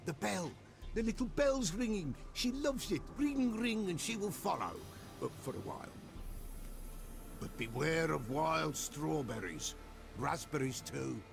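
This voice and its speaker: Fantasy game role: superstitious, cryptic seer, very animated delivery with a crackling edge, theatrical and archaic. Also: male voice, on the high side, measured pace, vocal fry. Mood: agitated, eager. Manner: archaic riddling speech, refers to himself in third person. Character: eccentric superstitious folk seer, adult man, excitable and cryptic. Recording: music behind the voice.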